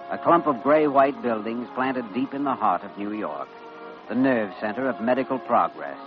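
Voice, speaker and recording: A man as a grave, reverent narrator in an old radio drama. He declaims solemnly at medium pitch. The recording is muffled, with music behind the words. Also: natural voice. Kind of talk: 1940s-50s radio narration.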